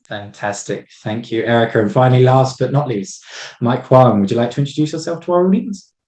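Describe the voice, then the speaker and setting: Fast-talking guy hosting an online panel, roomy echo. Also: medium pitch, darkish tone. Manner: polite, brisk introductions. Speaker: panel moderator. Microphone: video call.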